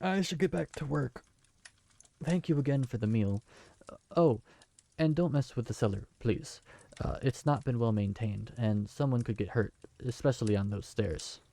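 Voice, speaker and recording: A man's voice: mid-range voice, normal speed, creaky, warm tone, no room sound, natural voice. Man playing a polite, flustered young tavern keeper. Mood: earnest. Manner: polite, earnest, slightly flustered. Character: young man who just inherited a magical tavern. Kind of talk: fantasy audio drama acting.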